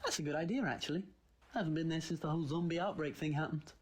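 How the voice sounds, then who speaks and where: A man's voice: on the high side, racing, close to an everyday voice. A man with a British accent playing a wry, confident time traveler in a sci-fi audio drama, theatrical and flippant. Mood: playful. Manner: flippant, theatrical, swinging from playful to grave.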